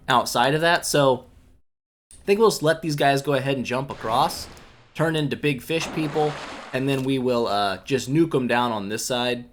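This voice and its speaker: A young man giving relaxed, rambling commentary while playing a mobile game, a bit congested from a cold, with lots of filler words and self-mocking asides. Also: mid-range voice. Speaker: gaming YouTuber. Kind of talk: casual gameplay commentary.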